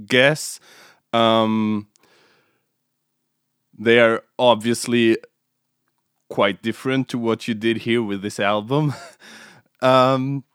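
A man conducting an informal interview, speaking in halting, hesitant phrases. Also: medium pitch, smooth, no room sound, studio quality.

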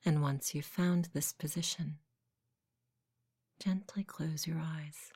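A woman narrates a guided sleep meditation. Her voice is low for a woman and breathy, often slipping into a whisper. She delivers each phrase softly and gently, taking her time. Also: steady tone. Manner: soft, gentle, unhurried.

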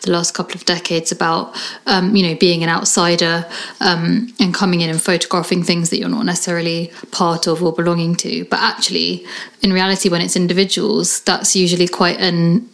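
A woman's voice: on the low side, level tone, brisk, vocal fry, a bit bright. A woman hosting a podcast, asking questions in a chatty way and thinking aloud with fillers. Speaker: podcast host and journalist. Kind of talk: conversational interview.

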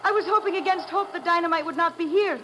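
A woman's voice: very high-pitched, quick pace, fairly bright tone. A woman playing an earnest, formally spoken wife in a 1950s radio drama, on a noisy old recording. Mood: troubled, earnest. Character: earnest wife, troubled by suspicions of her husband.

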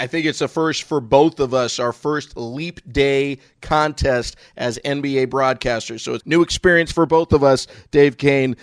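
A man with a medium-pitched voice talks sports as a podcast host and radio broadcaster. His analysis is conversational, and the sound is close and dry with very little room. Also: clear, resonant tone, no echo.

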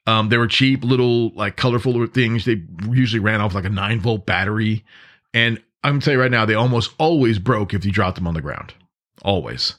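A man with a somewhat low-pitched voice talks as a podcast host. He explains things conversationally, like practical instruction, and leans on repetition and short asides to the listener to make his points land. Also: fairly quick, a bit bright. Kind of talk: conversational podcast monologue on emergency preparedness.

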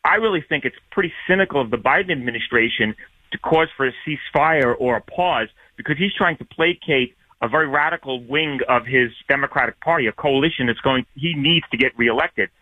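A man with a New York accent calling into a radio show, earnest and wordy, halting with fillers. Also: mid-range voice, no echo. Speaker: caller. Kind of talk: call-in opinion. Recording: tinny phone line.

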